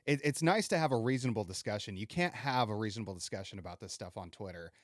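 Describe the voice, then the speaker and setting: A man's voice: fairly low pitch, animated, quick pace, no room sound, studio quality. A man hosting a casual talk show, speaking frankly and agreeably, with some casual swearing. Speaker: podcast host. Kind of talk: casual conversation.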